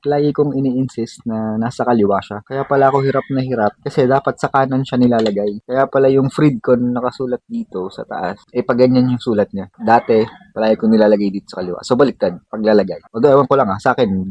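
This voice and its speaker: Male Filipino vlogger, relaxed dark tone, informal unboxing narration sprinkled with English slang. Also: fairly low pitch, no echo, lo-fi sound. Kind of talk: casual unboxing and installation demo.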